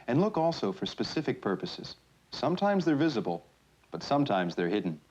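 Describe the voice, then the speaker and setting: An actor playing a chatty explainer, presenting an educational film in a conversational, scripted way. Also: male voice, mid-range voice, big pitch swings, fairly quick, darkish tone. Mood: explaining. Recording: muffled 1970s film soundtrack with music.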